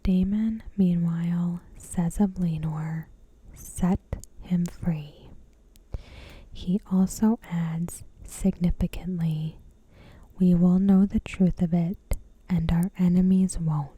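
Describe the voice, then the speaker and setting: Whispering woman, slow and dark-toned ASMR. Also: fairly low pitch, fairly even intonation, no room sound, rough recording. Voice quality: soft, breathy whisper. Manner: gentle, measured, deliberate. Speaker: video host. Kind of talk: ASMR episode commentary.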